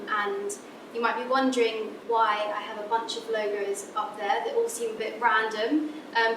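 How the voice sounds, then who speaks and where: A friendly woman academic with a southern English accent talks students through her career, hesitating with ums as she goes. Her slightly high voice carries from a distance in an echoey lecture hall. A low rumble sits clearly underneath. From Scotland. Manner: friendly, chatty, many ums and restarts. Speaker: guest speaker, academic physicist. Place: lecture room. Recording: echoey lecture room from far off, background rumble.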